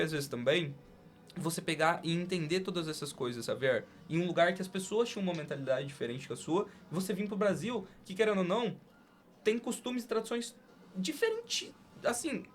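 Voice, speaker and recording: A man's voice: sits high, big pitch swings, a little fast. A young man with a Brazilian accent hosting a podcast in casual, slangy conversation. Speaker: podcast host.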